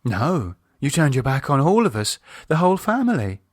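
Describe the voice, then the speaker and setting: A man's voice: on the high side, sing-song, moderate pace, dry recording, clean studio sound, pitched above the performer's own voice. A man voicing a confident, breezy English woman, a teasing sister, in an audiobook. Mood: dismissive, teasing. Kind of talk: audiobook character acting.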